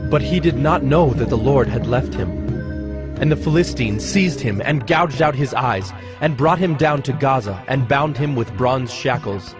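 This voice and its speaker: Male narrator, mid-pitched voice, scripted scripture storytelling, music underneath. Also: animated, moderate pace. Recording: music behind the voice.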